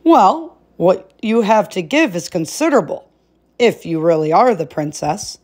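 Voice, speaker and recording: A woman's voice: mid-range voice, lively intonation, a little slow, darkish tone. Woman to play a talking frog in a fairy tale, male, sly and wheedling, always needling.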